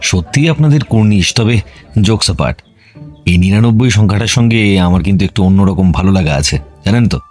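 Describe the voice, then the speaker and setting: A jovial, self-assured young male official in an audio drama. The voice is fairly low and lively, with music underneath. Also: fairly quick. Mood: playful, charming.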